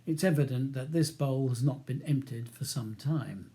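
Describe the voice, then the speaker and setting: A man's voice: medium pitch, moderate pace, warm tone. A man reading his own novel as scripted audiobook narration.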